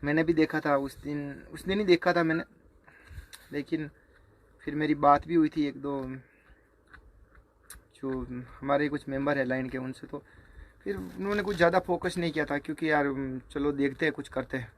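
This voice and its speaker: A man speaking informally to viewers, remonstrating in a halting, repetitive way. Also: a little high, steady tone. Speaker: singer. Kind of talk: informal spoken video response to viewers.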